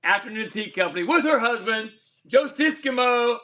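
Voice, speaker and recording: High bright-voiced man hosting a livestream. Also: animated, moderate pace. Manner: rambling, repeats words, laughs often. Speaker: livestream host. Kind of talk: casual livestream chat.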